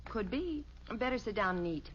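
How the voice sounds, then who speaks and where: Actress as brisk lively radio mother. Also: mid-range voice, close to an everyday voice. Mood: offhand. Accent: American. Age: middle-aged. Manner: brisk, practical. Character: brisk, no-nonsense middle-aged mother. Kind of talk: 1950s radio sitcom acting. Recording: muffled old radio recording, faint hiss.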